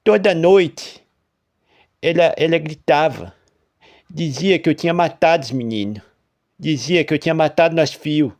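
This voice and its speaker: Man playing a ghost farmer, stammering, rustic, high, loud, horror tale. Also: moderate pace, pauses often, natural voice. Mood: guilty. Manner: stammering, rural speech. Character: guilt-ridden dead male farmer ghost, rustic.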